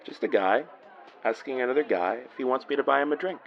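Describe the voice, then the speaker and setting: A man's voice: medium pitch, fast talker, creaky, dull audio, faint music behind, close to an everyday voice. An actor playing a flirty, easygoing male police officer in a naturalistic audio drama. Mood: flirty, teasing. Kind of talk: naturalistic horror fiction podcast acting.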